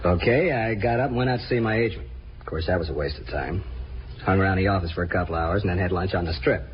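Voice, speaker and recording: Actor as fast, low-voiced, cagey irritable man. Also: natural voice. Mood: defensive, irritable. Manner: guarded, brusque, casual. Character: cagey, irritable out-of-work actor, a suspicious husband under questioning. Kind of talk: 1950s radio drama acting. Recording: muffled, hissy old radio recording.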